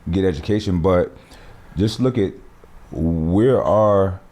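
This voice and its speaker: A man with a low, dark voice holds forth on his podcast. He speaks in an animated, emphatic way, full of street slang and cursing. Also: takes it slowly. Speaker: podcast host. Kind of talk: casual podcast conversation.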